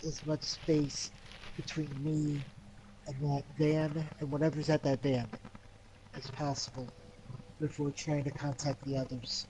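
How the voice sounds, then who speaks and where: Hesitant, higher-pitched man in a game. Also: little pitch movement, measured pace, warm tone, rough recording. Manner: hesitant, self-repeating. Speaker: tabletop game player. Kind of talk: casual game chat. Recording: faint background noise.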